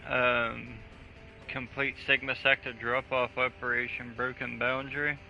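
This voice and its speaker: A man's voice: medium pitch, level tone, unhurried, creaky. A man chatting casually with a co-player as he streams a live game. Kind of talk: casual live gaming chat. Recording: dull lo-fi mic, faint music.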